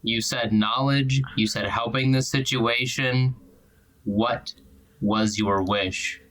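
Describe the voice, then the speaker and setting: A man's voice: medium pitch, steady tone, slow pace, a bit bright, low-quality recording. A man playing a suspicious, accusing character in a tabletop drama, pressing with terse, pointed questions. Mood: pressing, stern. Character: suspicious, accusing, dogged interrogator named Dakota.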